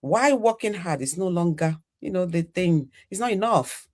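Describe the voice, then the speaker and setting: A woman's voice: on the low side, animated, normal speed, darkish tone. An older woman from Nigeria hosting a live online panel, chatty and full of "you know" fillers. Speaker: podcast host.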